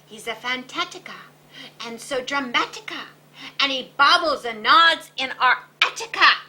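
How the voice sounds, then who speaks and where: A bossy little chihuahua, voiced by a woman storyteller who rhymes in a sing-song lilt. The voice is bright and bouncy, taken slowly. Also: medium pitch, expressive, slight background noise. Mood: playful. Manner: rhyming, sing-song delivery. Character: bossy little male chihuahua, playful schemer.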